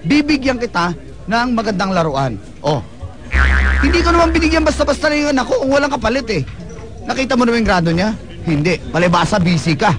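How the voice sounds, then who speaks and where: A man's voice: very high voice, animated, a little fast, music in the background. A man playing a defensive, boastful father and ex-husband in a radio drama, with an argumentative delivery. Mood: defensive, indignant. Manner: indignant, argumentative. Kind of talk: Filipino radio drama acting. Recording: busy crowd and traffic sounds behind.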